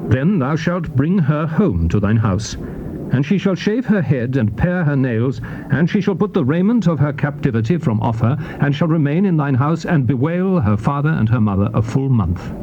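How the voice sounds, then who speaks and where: A British man narrating scripture in a measured, formal, declamatory style on a dull, noisy old cassette recording. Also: a little high, expressive. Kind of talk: solemn dramatized Bible reading.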